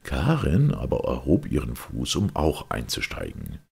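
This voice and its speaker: Very deep gravelly male storyteller. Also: wildly swooping pitch, measured pace, a little mellow. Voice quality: gravelly, very husky. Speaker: audiobook narrator. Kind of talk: expressive fairy-tale narration.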